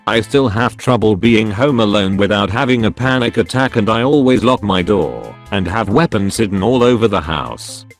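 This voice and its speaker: A man reading out first-person stories as a steady, even narrator. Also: on the low side. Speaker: narrator reading online posts. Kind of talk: scripted narration. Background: soft background music.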